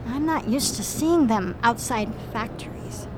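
A high, unhurried female android voiced by a woman from a radio play. She is calm and earnest, measured in every phrase, with faint noise around her. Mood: earnest, inquiring. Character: female android partner, calm, earnest, curious about humans. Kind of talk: audio drama acting.

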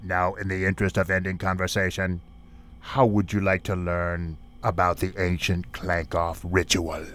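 A man's voice: sits low, flat delivery, moderate pace, a bit bright. A man playing a surly, menacing alien warrior officer in a comic radio play. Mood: gruff relish. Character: surly, menacing alien warrior lieutenant, male, relishing violence. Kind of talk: parody radio play acting.

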